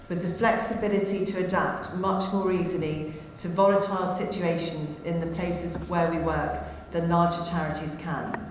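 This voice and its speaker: A woman giving a formal, persuasive charity appeal speech. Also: fairly low pitch. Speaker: charity speaker. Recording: echoey hall from far off with noise.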